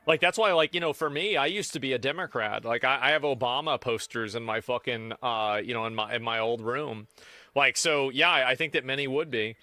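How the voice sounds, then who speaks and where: A man's voice: mid-range voice, brisk, bright, forceful tone, dry recording, faint music behind. A man streaming, commenting casually on a video with hesitant restarts. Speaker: live streamer.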